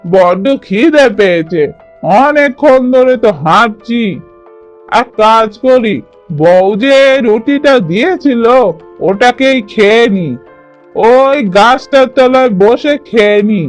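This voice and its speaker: An actor playing a poor, kind-hearted village labourer in a cartoon, speaking lines in an earnest, plaintive, musing way. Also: male voice, very high voice, slow pace, faint music behind. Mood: weary, hungry. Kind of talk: cartoon voice acting.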